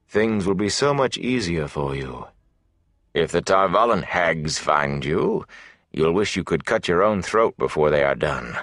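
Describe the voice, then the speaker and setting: A man voicing a sleek, self-important, menacing servant of a dark lord in an audiobook, drawing out words to coax and command. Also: rumbling low, creaky, dry recording, clean studio sound, exaggerated voice. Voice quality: sleek, thick. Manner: drawn-out, coaxing then commanding. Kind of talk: audiobook villain dialogue.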